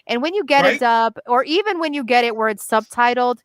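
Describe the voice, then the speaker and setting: A woman's voice: a little high, expressive, quick pace, no room sound. A woman who is a Portuguese speaker, co-hosting a casual fan podcast in a chatty way with easy laughter. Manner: chatty, laughs easily, many "like" fillers. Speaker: podcast co-host. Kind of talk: casual chat.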